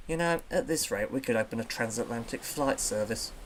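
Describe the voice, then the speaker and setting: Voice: medium pitch, brisk, slight background noise, natural voice, rough recording. A performer voicing a young female seal with a British accent, wry, sarcastic and self-important. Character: young female seal, pompous would-be intellectual. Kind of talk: cartoon narration.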